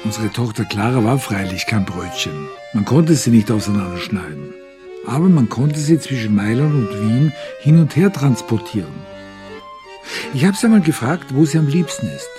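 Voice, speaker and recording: An actor playing a brooding, haunted father in a radio drama, speaking in a halting, confiding way. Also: medium pitch, animated, lightly acted. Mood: reflective. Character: brooding divorced father haunted by his lost daughter. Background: music.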